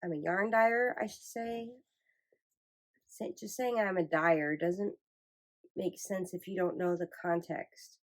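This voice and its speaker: A woman's voice: on the low side, takes it slowly. Chatty woman host with a stuffy cold. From Michigan, USA. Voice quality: nasal. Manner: rambling, self-correcting, chatty. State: congested from a cold. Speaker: podcast host. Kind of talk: casual chat.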